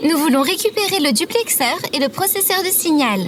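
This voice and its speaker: A woman's voice: extremely high pitch, lively intonation, brisk, background noise. A woman playing a polite, logical, helpful adventurer in an audio drama, speaking precisely. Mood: puzzled.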